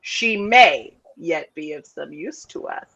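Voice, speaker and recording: A woman playing a menacing, commanding male dark lord in an amateur table read of a space film. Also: low voice, lively intonation, slow, creaky, breathy edge, exaggerated voice. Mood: cold, commanding.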